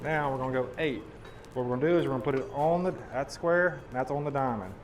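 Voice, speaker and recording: Hoarse man teaching blacksmithing. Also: mid-range voice, fast, background noise. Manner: folksy, explains step by step while hammering. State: hoarse, losing his voice. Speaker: blacksmithing demonstrator. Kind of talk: live teaching demonstration. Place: blacksmith forge demonstration. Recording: loud hammer clanging behind voice.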